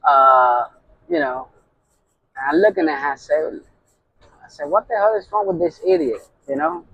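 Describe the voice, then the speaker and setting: A man's voice: a little high, sing-song, normal speed, gravelly, fairly bright tone, husky, no room sound, highs cut off. A middle-aged man with a Puerto Rican accent, a truck driver telling a heated story in a casual vlog, with constant fillers. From New York. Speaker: owner-operator truck driver.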